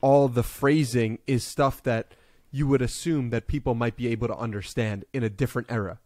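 Young male host, mid-pitched, curious and laughing, dry close-mic sound. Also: steady tone, normal speed, darkish tone, dry recording. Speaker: podcast host. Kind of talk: casual interview chat. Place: home room with bookshelves, indoors. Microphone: large studio mic up close.

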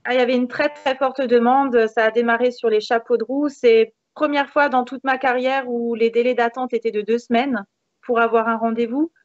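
Woman in her thirties, fairly high voice, upbeat interview guest, dry lo-fi call audio. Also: fairly even intonation, brisk, dry recording. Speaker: interview guest, hair salon manager. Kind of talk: conversational interview answers. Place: indoors in a room with a beamed ceiling. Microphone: video call, device mic. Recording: dull, lo-fi video call audio.

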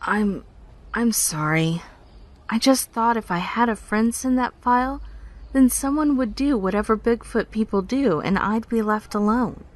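A woman playing an apologetic, self-conscious young park ranger who speaks haltingly in a naturalistic podcast drama. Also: a little high, close to an everyday voice. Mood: defensive, anxious. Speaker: actor in audio drama.

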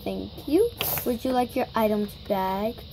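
A woman's voice: mid-range voice, a little slow, background noise. A girl improvising a toy shopping scene, chatty and bossy as she runs the story. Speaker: toy video maker. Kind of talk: improvised toy play-acting.

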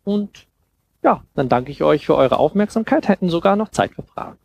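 A man in his thirties with a fairly high, gravelly voice speaks informally to an audience. He explains things in an easygoing talk style, picked up by a headset microphone. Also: animated, normal speed, a little hiss or hum. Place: small dim lounge room at a festival.

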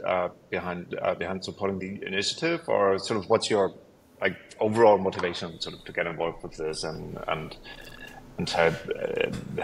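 Male interviewer, middle-aged, fairly deep, casual and halting, repeats words, on a video call. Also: gravelly, a bit bright, slight background noise, rough recording. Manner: halting, repeats words while forming questions.

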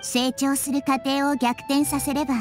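A woman voicing a young girl goddess, calm, gentle and wise, who speaks softly and with composure. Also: sits high, normal speed, lightly acted. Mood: reassuring. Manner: soft, composed, reassuring. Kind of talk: Japanese game voice acting. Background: game music.

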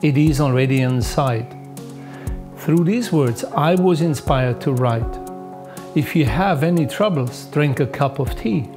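A German-accented man in his fifties speaks in a calm, unhurried, reflective way, his voice a little higher than most men's. Music plays clearly underneath him throughout. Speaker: tea master. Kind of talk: documentary narration and on-location talk.

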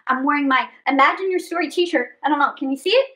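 A middle-aged woman hosting a children's story time, reading and chatting in an animated, theatrical way. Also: sits high, quick pace. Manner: animated, theatrical, with dramatic gasps and stretched words. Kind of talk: expressive picture-book read-aloud and chat to children. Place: small indoor space with draped fabric backdrop.

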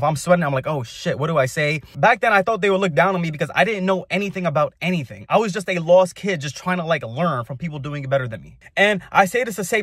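A young man speaks quickly to his viewers in a slightly high-pitched voice. His delivery is casual and emphatic, full of slang and the odd swear word, like someone sharing a motivational story. The sound is dry and close, with hardly any room echo. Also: a bit bright. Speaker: video host.

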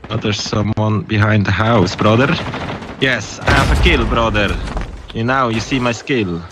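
A man's voice: lowish voice, unhurried. A man chatting and joking with a teammate during an online shooter match, calling him brother. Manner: jokey put-on Italian accent, calls others brother. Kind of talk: casual game voice chat. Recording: noisy game audio.

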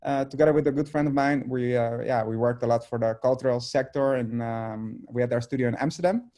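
A man's voice: mid-range voice, fairly quick, warm tone. A man in his thirties from the Netherlands, a native Dutch speaker talking in English on a video call from his home studio, hesitant with many fillers and restarts. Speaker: artist guest.

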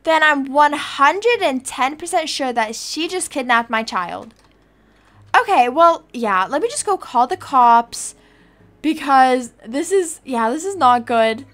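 A woman's voice: sits high, lively intonation, takes it slowly, a bit bright, heavily acted. A woman playing a casual, easily alarmed adoptive mother in a video game roleplay, chattily thinking aloud. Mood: anxious, resolute.

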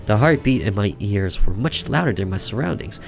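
Deep-voiced man reading a horror game aloud. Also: lively intonation, a little fast, vocal fry, slight background noise. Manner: theatrical, stumbles over words and rereads. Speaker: gaming video host reading a visual novel aloud. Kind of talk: dramatic reading aloud with asides. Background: game music.

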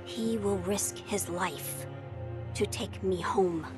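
A woman's voice: mid-range voice, close to an everyday voice. A woman voicing a young boy heir in a video game, noble and composed, speaking formally and with resolve. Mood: calm, defiant. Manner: formal, measured. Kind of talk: dramatic video game voice acting. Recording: music behind the voice.

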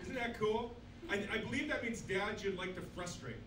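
A man in his sixties works a small club stage with a handheld mic, trading in crude, self-deprecating punchlines. His voice sits high for a man. The recording is roomy and distant, with a noisy bed under it. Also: moderate pace. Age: 60s. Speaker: stand-up comedian. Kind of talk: stand-up comedy set. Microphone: handheld mic, filmed from far back.

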